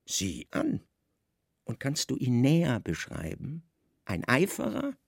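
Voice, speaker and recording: An actor playing a stern, scheming Roman governor in a radio play, imperious and measured, with insinuating undertones. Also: male voice, medium pitch, wildly swooping pitch, vocal fry, breathy edge, no echo, studio quality, full character voice. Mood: probing. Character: stern, commanding Roman governor, slyly plotting, middle-aged man.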